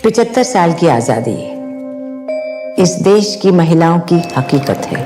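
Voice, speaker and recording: A woman's voice: lowish voice, very animated, very fast. A woman with an Indian accent reads scripted promotional narration for a trailer. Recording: echoey playback with loud music. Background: loud music.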